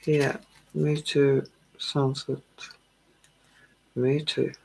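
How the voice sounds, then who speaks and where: Slow, gravelly-voiced tired woman. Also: a bass voice, a little hiss or hum, low-quality recording. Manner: slow, drowsy, calming delivery. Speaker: tarot livestream host. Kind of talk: casual livestream chat with viewers.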